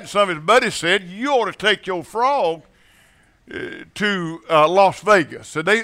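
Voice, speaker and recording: A man from Mississippi with a Southern accent preaching as a guest. He tells folksy joke stories to a congregation, halting and repeating words and chuckling often. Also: on the high side, bright, forceful tone. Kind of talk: folksy storytelling sermon with jokes. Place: church sanctuary with congregation.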